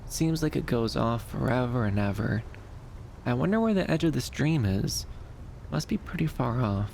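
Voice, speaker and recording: Male actor as soft, dreamy young femboy for ASMR roleplay: shy, soothing, creaky mid-range voice, light rumble behind. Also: lively intonation, normal speed. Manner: soft-spoken, hesitant, soothing, with lilting upturns.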